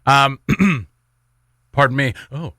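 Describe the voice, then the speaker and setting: A man's voice: mid-range voice, slow pace, sharp tone, dry recording. A man hosting a morning radio show with casual, joking, rambling banter and announcements. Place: radio studio.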